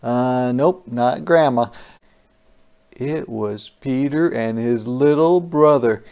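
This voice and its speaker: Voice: mid-range voice, takes it slowly, clean tone. A storyteller reading a picture book aloud to children, with playful, wondering asides. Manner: animated, playful wondering asides to young listeners. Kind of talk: interactive children's read-aloud.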